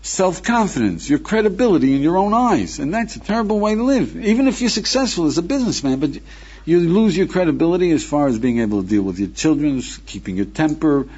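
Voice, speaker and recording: A man's voice: fairly high pitch, very animated, fairly quick, a little mellow, lo-fi sound. A man teaching as a rabbi, lecturing emphatically with rhetorical questions and calling his listener "friend". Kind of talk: recorded lecture.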